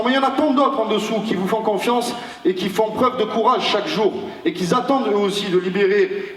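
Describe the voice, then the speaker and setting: A man giving a motivational seminar speech as a network marketing trainer, rousing his listeners with repeated lines and rhetorical questions. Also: high voice. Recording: echoey hall, mic far off.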